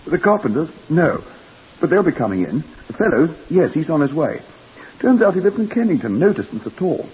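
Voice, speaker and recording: A man voicing a fussy, flustered hotel manager with a husky voice, in old-time radio drama. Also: a little high, big pitch swings, a little fast, a little mellow, voice pushed up, exaggerated voice. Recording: muffled old radio recording.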